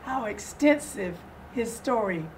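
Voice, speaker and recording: Slow, deliberate older woman, distantly miked. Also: fairly high pitch, animated, a little mellow. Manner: deliberate, measured, formal. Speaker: guest, readers theater director. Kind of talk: interview answers. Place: furnished sitting-room set indoors. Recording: distant mic, audible room noise.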